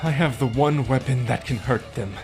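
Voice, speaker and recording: A man's voice: medium pitch, normal speed, over music, natural voice. A man playing a scheming, smarmy and cowardly villain broker in a dramatic audio drama. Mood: gloating, defiant.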